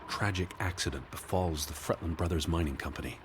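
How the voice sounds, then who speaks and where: An actor playing a weary, anxious, bookish gentleman with a formal, precise manner in a 1920s period drama game. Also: low voice, a little fast, background noise, natural voice. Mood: sober, informative. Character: weary, anxious, bookish middle-aged gentleman searching for his sister.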